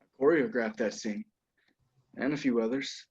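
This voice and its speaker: A muffled video-call recording of a man acting a cocky young guy obsessed with horror. He has a mid-pitched voice and a slyly menacing edge, as in a film script read-through. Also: normal speed, a little mellow, breathy edge, lightly acted. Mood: confiding.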